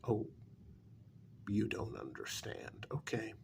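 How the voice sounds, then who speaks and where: A menacing male kidnapper acted by a man in an ASMR role-play, speaking softly in a low voice full of heavy fry. He goes extremely slowly, with sardonic, deliberate pauses and drops into whispers. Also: very animated, a little hiss or hum, full character voice. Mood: aggrieved, simmering. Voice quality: gravelly, breathy soft voice dropping into whispers. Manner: slow, deliberate, halting, sardonic.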